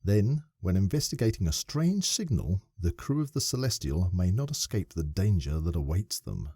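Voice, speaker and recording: A male podcast co-host chats casually and playfully. His voice is low and mellow yet swings widely in pitch. The sound is dry and close. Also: normal speed, husky, no echo. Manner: animated, playful, reads synopsis aloud.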